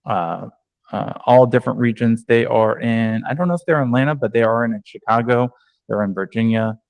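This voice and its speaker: A man's voice: medium pitch, fairly quick, vocal fry, no echo. A man from New York, a real estate agent, giving a conversational online training over a video call with many ums and restarts.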